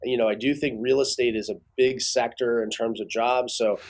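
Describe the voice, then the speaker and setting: A man giving casual expert commentary as an interview guest, building his points haltingly with repeated words. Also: mid-range voice, fairly even intonation, darkish tone.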